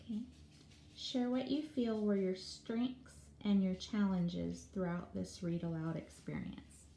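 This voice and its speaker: A woman's voice: medium pitch, fairly even intonation, unhurried, creaky, mellow. A woman interviewer asking prepared questions. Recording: echoey room with faint background noise.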